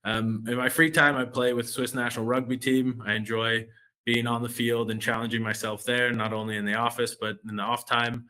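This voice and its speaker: A man's voice: medium pitch, level tone, brisk, pushed and bright. An American man, a relationship manager, presenting a finance webinar in a loose way, with many fillers and restarts. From United States.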